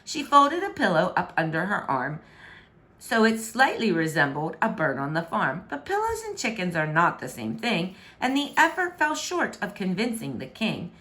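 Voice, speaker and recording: Animated woman reading a children's story. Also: lowish voice, moderate pace. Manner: expressive, emphatic, theatrical. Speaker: storybook reader.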